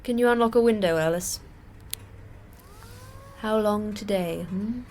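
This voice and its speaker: A woman's voice: mid-range voice, a little slow, a little mellow, a little hiss or hum, natural voice. A woman playing a jaded, terse station manager in an audio drama, dry and matter-of-fact. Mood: deadpan. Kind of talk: audio fiction podcast acting.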